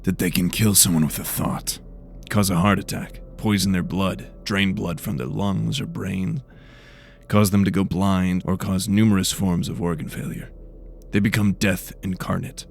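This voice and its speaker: A man's voice: low-pitched, fairly even intonation, normal speed, vocal fry, slight background noise. A man playing a brusque investigator of magical murders in a fantasy audio drama, blunt and demanding. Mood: grim, explanatory.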